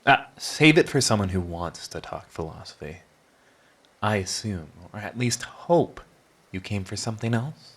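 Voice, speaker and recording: Casting: male actor as prickly, begrudgingly charming villain for audio roleplay. Low register, sardonic, theatrical teasing. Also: animated, fairly bright tone, breathy edge, full character voice. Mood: sarcastic annoyance. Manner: sardonic, theatrical teasing, drawn-out mocking delivery. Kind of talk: scripted ASMR roleplay audio drama.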